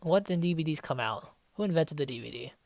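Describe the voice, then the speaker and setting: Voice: mid-range voice, lively intonation, fast talker, darkish tone, no room sound. A reviewer in their twenties reading aloud in a theatrical mock-serious style, breaking off into sarcastic, mocking commentary. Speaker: online fanfiction reviewer.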